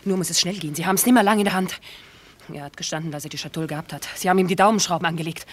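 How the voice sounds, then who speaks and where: A woman's voice: fairly low pitch, racing, slight background noise, close to an everyday voice. An actress playing a calculating, flirtatious camp woman in a German radio play, with a coquettish manner. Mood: hurried, pressing.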